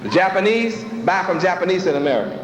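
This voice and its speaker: A man's voice: high voice, expressive, fast talker, a little hiss or hum. A man preaching a sermon with rhetorical questions and a repetitive cadence. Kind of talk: sermon with acted dialogues. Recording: echoey hall, dull lo-fi sound.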